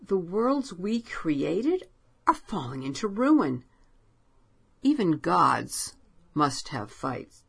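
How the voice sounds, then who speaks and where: A woman's voice: fairly low pitch, very animated, drawn-out words, vocal fry, long pauses, husky, exaggerated voice. A woman voicing a grim, frightened and angry male writer in a storybook reading, pleading urgently. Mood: impassioned. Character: grim, brooding male writer, driven and bitter.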